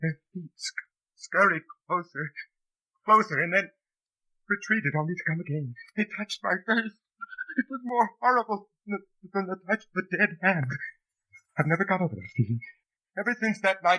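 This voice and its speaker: Anxious, haunted rebel, husky and intense. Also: male voice, high-pitched, bright, forceful tone, heavily acted, low-quality recording. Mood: terrified, haunted. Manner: theatrical, hesitant, intense delivery. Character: anxious, haunted, yearning man rebelling against a regime. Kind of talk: 1950s radio drama acting.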